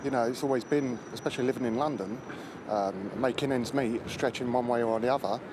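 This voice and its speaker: A man's voice: medium pitch, fairly quick. A man, a member of the public, talking informally in a street interview. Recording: noisy street with traffic.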